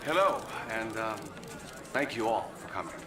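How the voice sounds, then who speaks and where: An actor playing a pompous, folksy American vice president giving a podium speech in a video game cutscene, with an American accent. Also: male voice, fairly low pitch, lively intonation, moderate pace, background noise, close to an everyday voice. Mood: solemn, reluctant. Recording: echoey podium hall sound.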